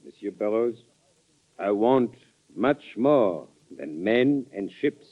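An actor playing a smooth, insistent French envoy with a French accent in an old radio drama, on a muffled vintage recording. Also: male voice, fairly low pitch, animated, slow pace. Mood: scheming. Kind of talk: 1940s radio drama acting.

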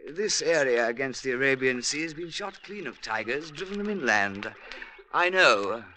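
An actor playing a pompous British army major in a radio drama, with a blustering, hesitant, clipped way of repeating himself. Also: male voice, mid-range voice, lively intonation, moderate pace, sharp tone. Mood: self-assured, probing. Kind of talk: old-time radio drama acting. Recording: classic radio recording with faint noise.

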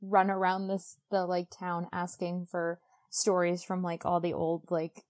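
A woman's voice: lowish voice, completely flat delivery, a little slow, darkish tone. A woman co-hosting a casual book podcast, talking in a chatty, gushing way full of conversational asides. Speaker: podcast co-host.

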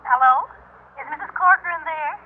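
A formal, conciliatory agency lady played by a woman in an old radio drama. Her high voice is brisk and businesslike, thinned as if down a telephone line. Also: slight background noise, natural voice. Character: polite, businesslike female adoption agency worker. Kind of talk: classic radio drama acting.

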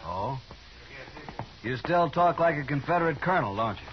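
An American actor playing a surly, insolent cowhand villain in a 1950s radio Western, sneering and defiant. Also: male voice, a little high, animated, normal speed, close to an everyday voice. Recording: muffled, noisy 1950s radio recording.

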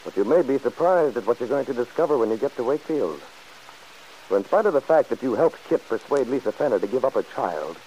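A man's voice: mid-range voice, darkish tone, rough recording. A man narrating an old-time radio soap opera in a dramatic, portentous scripted style. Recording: hissy vintage radio broadcast recording.

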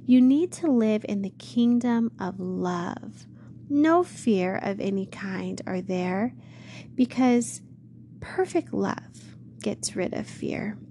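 A woman voicing a kind male shepherd who speaks gently and calmly in a storybook reading. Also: mid-range voice, a little hiss or hum. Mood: tender. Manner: slow, gentle reassurance.

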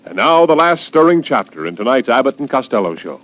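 A man delivering a scripted sales pitch as a 1940s radio commercial announcer. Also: big pitch swings, a little fast, loud music behind the voice.

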